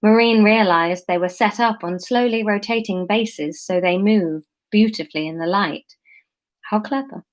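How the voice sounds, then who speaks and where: A woman's voice: medium pitch, normal speed. Woman reading her own story aloud. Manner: chatty, breaks off to comment and correct herself.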